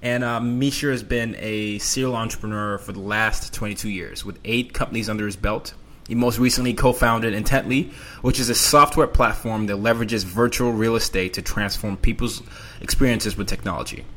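A man's voice: fairly low pitch, steady tone, moderate pace, a bit bright. A man hosting a podcast interview, upbeat and friendly, laughing easily as he asks his guest questions. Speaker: podcast host. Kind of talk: casual interview.

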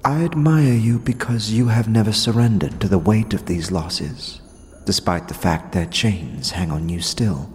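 An actor playing a gentle, solemn otherworldly tattooist creature in an audio drama, speaking gravely and with measured reverence. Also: male voice, lowish voice, big-room sound, slight background noise, close to an everyday voice. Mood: grave, admiring. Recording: music behind the voice.

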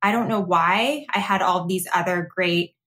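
A woman's voice: lowish voice, normal speed, a bit bright. An American woman from Arizona talking casually as a podcast guest, in a chatty, upbeat storytelling way. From Arizona, United States. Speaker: podcast guest, lifestyle blogger. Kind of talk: casual conversational interview.

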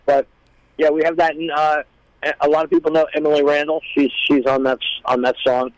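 A man chatting casually as a guest, explaining things in a rambling, halting way. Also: on the high side, level tone, no room sound, rough recording. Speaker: guest, musician. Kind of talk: casual chat.

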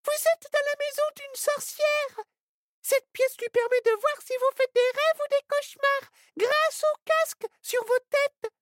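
A man voicing a tiny frantic squirrel in a storybook reading, with a squeaky, urgent voice. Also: steady tone, measured pace, fairly bright tone, no room sound.

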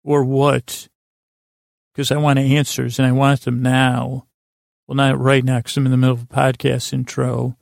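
A man hosting a sleep podcast in a creaky, tired voice, rambling haltingly through tangents and often interrupting himself. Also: mid-range voice, monotone, dry recording, clean studio sound. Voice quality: creaky, croaky voice. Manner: meandering, halting, self-interrupting, trailing off. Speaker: podcast host.

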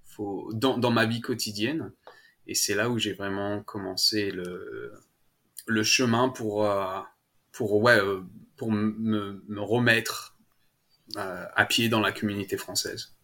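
A man's voice: fairly low pitch, steady tone, slow pace, a bit bright. A man from France, a father as interview guest, chatting casually with many fillers, restarts and laughs. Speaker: guest, French-speaking father.

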